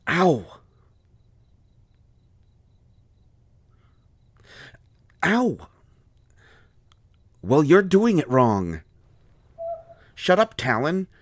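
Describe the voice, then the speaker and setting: A man playing an injured bookish fellow in an audio roleplay, cranky yet gentle, with halting, fussy wording and a pained delivery. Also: fairly high pitch, big pitch swings, no echo, clean studio sound, exaggerated voice. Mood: protesting, irritated.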